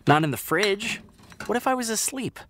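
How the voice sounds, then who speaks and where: Fussy, animated friend. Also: male voice, sits high, normal speed, noisy background. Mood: exasperated. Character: fussy, health-conscious morning person, exasperated male friend. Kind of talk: naturalistic audio drama dialogue.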